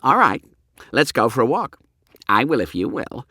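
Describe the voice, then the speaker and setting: A man voicing a calm, cheeky and supercilious fourteen-year-old American boy in an audiobook. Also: medium pitch, moderate pace, dry recording, clean studio sound, voice pushed up. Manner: supercilious, coolly insolent.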